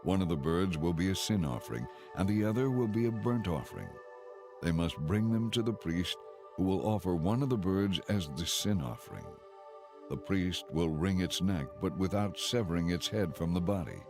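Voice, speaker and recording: A man narrating scripture from a script in a solemn, measured, formal way. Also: low-pitched, animated, over music. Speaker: audiobook narrator.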